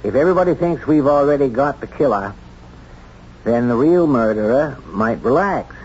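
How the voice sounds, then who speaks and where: Through the dull, slightly hissy sound of a 1970s radio drama comes a small-town American sheriff, acted by a man. He is plain-spoken and folksy, halting when he opens up, candid and unsure of himself, in a medium-pitched voice. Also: lively intonation, darkish tone, lightly acted. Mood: brisk, steady.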